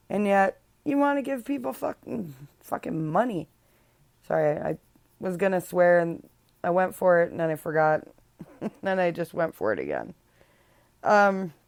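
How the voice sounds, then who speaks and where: Woman podcast host, unhurried, lowish voice, dry close sound, blunt and sarcastic. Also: creaky, a little mellow, no echo. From Illinois. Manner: chatty and blunt, many ums, sarcastic asides. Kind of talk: casual solo podcast chat.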